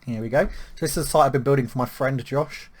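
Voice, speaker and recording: A man from the UK talking through a software setup step by step, with lots of ums and small restarts. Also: medium pitch, fast. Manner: relaxed, filler-heavy walkthrough with frequent ums. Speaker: web tutorial presenter. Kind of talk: screen-recorded software tutorial.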